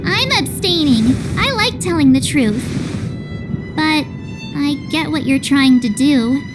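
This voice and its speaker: A woman's voice: sits high, expressive, slow. An actress playing a perky, candid girl adventurer in a video game cutscene, chirpy and informal. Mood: hesitant, candid. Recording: loud orchestral music behind the voice.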